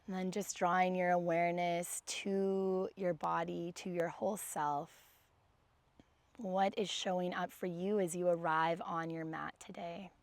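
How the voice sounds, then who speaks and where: A young woman with a raspy voice, teaching a guided yoga class in a calm, gentle way. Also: lowish voice, unhurried, no echo. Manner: calm, gentle guidance with soft sighs.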